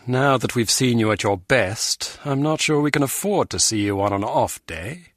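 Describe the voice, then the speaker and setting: A male actor voices a pompous, scornful intelligence official in a video game. He talks in formal, cutting phrases with lively pitch movement and open condescension. The recording is dry and close. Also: normal speed, no echo, natural voice. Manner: sarcastic, condescending, clipped formality.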